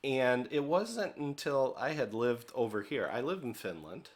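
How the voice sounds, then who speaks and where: A middle-aged man from the United States giving a relaxed training lecture on leadership, talking conversationally with filler words and checking in with "okay?". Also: medium pitch, a little slow.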